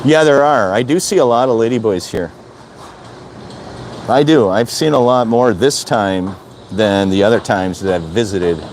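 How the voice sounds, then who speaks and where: A man's voice: lowish voice, measured pace, a little mellow, slight background noise. An older man from Wisconsin chatting casually on a live stream, rambling and repeating himself as he answers viewer comments. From Wisconsin, USA. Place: outdoor city balcony.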